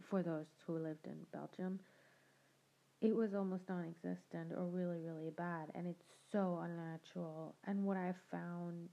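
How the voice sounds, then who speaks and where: A woman's voice: on the low side, creaky. A young adult woman hosting a podcast, telling her own story in a reflective, conversational way and laughing now and then. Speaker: podcast host. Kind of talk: personal storytelling monologue. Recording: dull lo-fi sound.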